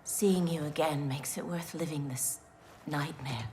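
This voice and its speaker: A woman's voice: low voice, a little slow, a little mellow, slight background noise. An actress playing a loyal female warrior who speaks earnestly. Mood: heartfelt. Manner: earnest, heartfelt.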